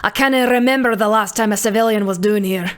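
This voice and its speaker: A woman voicing a gruff male prison governor from a video game, with a Scottish accent. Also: medium pitch, brisk, lightly acted. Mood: stern.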